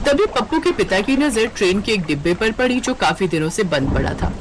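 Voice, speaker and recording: A woman's voice: mid-range voice, very animated, a little fast, background noise. Woman narrating a cartoon story.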